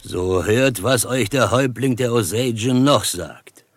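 An actor playing a proud, solemn chief held prisoner in a western radio drama, speaking formally and with measured gravity. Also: male voice, mid-range voice, no echo, lightly acted. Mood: solemn, earnest. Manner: formal, measured, speaks of himself in third person.

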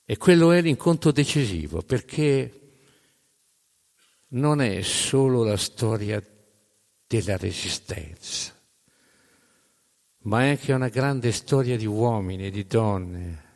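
A man with a fairly low voice tells a story live on stage, his words trailing into long hall reverb. His delivery is theatrical and emphatic, moving between forceful projection and hushed phrases. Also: expressive, slow pace, long pauses. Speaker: narrator.

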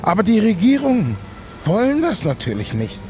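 Stage sea rescuer: earnest, declamatory, high male voice, swinging intonation. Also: wildly swooping pitch, normal speed, dark tone, faint music behind. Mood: solemn, impassioned. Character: earnest, impassioned African sea rescuer of migrants. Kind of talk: theatrical stage monologue, satirical revue.

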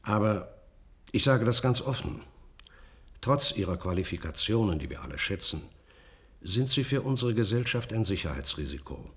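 A man's voice: fairly low pitch, big pitch swings, normal speed. An actor playing a stern, suspicious male government commissioner in a radio drama, formal, officious and emphatic.

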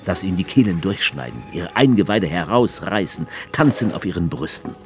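A grim male writer recounts a staged war in a radio play. His voice sits extremely low, and the delivery is stark and incantatory. Music plays clearly beneath him. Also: expressive, normal speed. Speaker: author character narrating. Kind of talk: radio drama narration. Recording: music under the voice.

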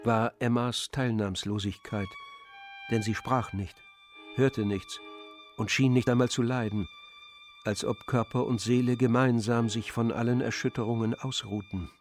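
A male narrator reads literary prose in a medium-pitched voice. The delivery is scripted storytelling, with soft music behind it. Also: fairly even intonation, measured pace.

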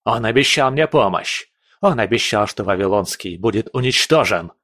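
A cowardly, hot-tempered prince bellows and rants in a loud, raised voice, as a male narrator puts him on. Under the shouting the voice stays fairly low. The recording is close and dry. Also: expressive, normal speed, no echo. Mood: furious. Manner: shouting, ranting, furious.

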